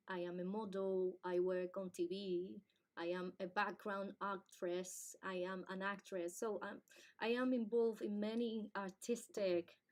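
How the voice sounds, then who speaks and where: A woman, talking live into a webcam as podcast co-host. She speaks at a middle pitch, in a chatty, personal way. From Dominican Republic. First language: Spanish. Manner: chatty, warm, personal storytelling with fillers. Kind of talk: casual live podcast chat. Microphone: webcam on a live video stream.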